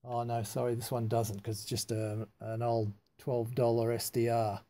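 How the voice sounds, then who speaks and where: Flat-toned, mellow man giving a hands-on demo. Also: mid-range voice. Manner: halting, unscripted, matter-of-fact. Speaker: amateur radio hobbyist demonstrating antenna tuning. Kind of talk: casual hands-on demonstration, narrated live.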